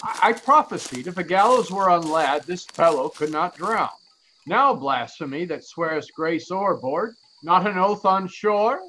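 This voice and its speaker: An actor plays a devout old royal counsellor, garrulous and effusive. His male voice sits high as he declaims Shakespearean verse in a radio play. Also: expressive, unhurried, pushed and bright, slight background noise, exaggerated voice, low-quality recording. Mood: excited scolding.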